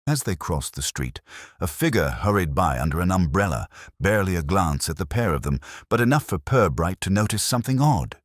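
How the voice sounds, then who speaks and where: A man narrating a mystery story in a measured storytelling manner, with a husky voice. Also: sits low, sing-song, no echo, studio quality.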